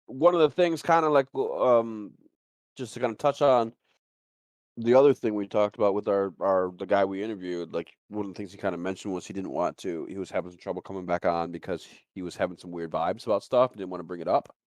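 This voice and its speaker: Low voiced guy cohosting a stream, dry close sound, keeps rephrasing as he thinks out loud. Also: a little fast, no room sound. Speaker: co-host of a live show. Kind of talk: casual livestream chat.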